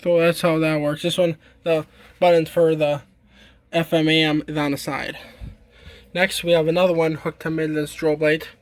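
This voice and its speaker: A man giving a casual, halting, informal show-and-tell demonstration as a hobbyist. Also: fairly high pitch, flat delivery, no room sound. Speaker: hobbyist demonstrating a radio collection.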